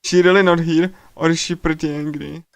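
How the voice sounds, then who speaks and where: Male streamer, smooth clean tone, close dry sound, casual commentary and stumbling read-aloud with repeated words. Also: a little high, steady tone, no echo. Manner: halting, repeats and stumbles while reading. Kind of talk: casual game livestream commentary and reading aloud. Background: game music.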